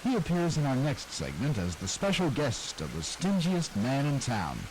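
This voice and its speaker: A man narrating scripted introductions to old radio comedy clips in a smooth announcer style. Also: mid-range voice, huge pitch swings, moderate pace, a little hiss or hum, poor audio.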